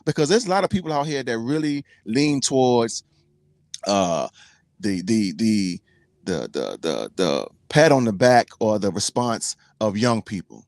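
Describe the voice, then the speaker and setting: A man's voice: on the low side, animated, a little slow, a little mellow, no room sound, clean studio sound. A man in his forties, a team trucker and co-host, talking bluntly and preachily in casual slang. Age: 40s. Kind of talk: casual podcast chat.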